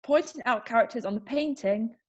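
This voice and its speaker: A woman's voice: a little high, a little fast, lo-fi sound. A woman reading stage directions aloud in a plain, matter-of-fact way.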